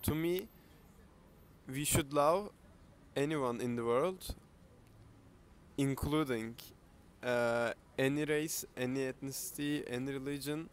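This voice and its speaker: A young man from Turkey speaking earnestly to camera on a city street, pausing often with fillers. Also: medium pitch, clean tone. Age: young adult. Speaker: interviewee. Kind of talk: casual speech to camera. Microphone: handheld camera, close.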